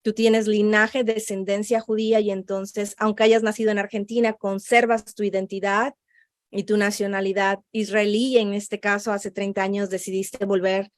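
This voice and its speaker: A woman's voice: mid-range voice, level tone, moderate pace, no echo. Woman host, interviewer, summarizes answers, dry close sound. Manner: restates and summarizes the guest's answers. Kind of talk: interview with a guest.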